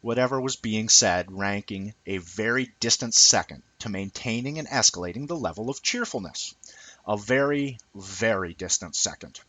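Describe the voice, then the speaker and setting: A man reading a scripted essay aloud with deliberate, emphatic phrasing and dramatic pauses. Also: lowish voice, expressive, bright, forceful tone, rough recording. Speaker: reader of a written essay.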